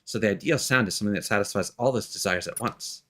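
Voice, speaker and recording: A man's voice: fairly low pitch, fast talker, a bit bright, pitched below the performer's own voice, natural voice. A man voicing an earnest, driven young female musician while reading anime game dialogue aloud. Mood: earnest, insistent.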